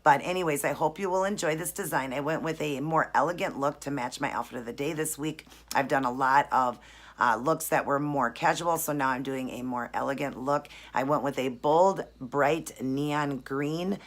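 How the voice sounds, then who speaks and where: A hoarse woman recovering from laryngitis, chatting through a nail art tutorial. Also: low voice, normal speed. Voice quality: raspy. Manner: friendly, chatty, explains each step. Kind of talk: casual tutorial chat.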